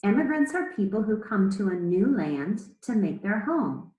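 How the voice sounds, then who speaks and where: A woman speaking as an elementary school teacher, reading aloud to children in a measured, explanatory way with pauses between phrases. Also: medium pitch, big pitch swings, a little mellow, roomy sound, low-quality recording. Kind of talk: read-aloud lesson to children.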